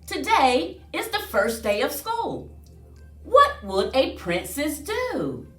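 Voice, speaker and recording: Woman, children's storyteller, unhurried, lively intonation, singsong read-aloud, roomy distant recording. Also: high-pitched. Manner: expressive, singsong delivery for children. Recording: roomy echo, distant mic, faint music.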